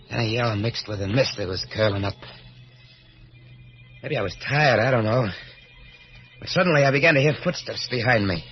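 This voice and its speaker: A man's voice: lowish voice, close to an everyday voice. Man playing a jumpy fugitive killer, vintage radio. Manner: clipped, colloquial, haltingly evasive. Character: murderous escaped male fugitive, jumpy, evasive, tough, narrating his confession. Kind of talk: old-time radio thriller acting. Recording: muffled vintage radio, loud music behind.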